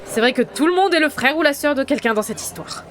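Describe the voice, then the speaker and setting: Woman as a wry, high-voiced, animated sergeant. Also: quick pace. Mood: sarcastic. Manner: wry, colloquial, insolent. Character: sarcastic, muttering woman army sergeant. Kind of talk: comic sci-fi audio drama.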